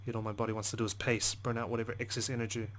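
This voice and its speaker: A man's voice: mid-range voice, level tone, rapid-fire, a bit bright, a little hiss or hum. A man hosting a let's play, reading visual novel narration expressively with side comments and frequent swearing.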